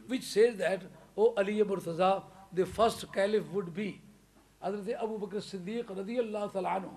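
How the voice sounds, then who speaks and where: High-pitched Pakistani man preaching emphatically. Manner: emphatic, rising to loud stresses, chuckles between points. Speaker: religious preacher. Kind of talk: English sermon to a congregation.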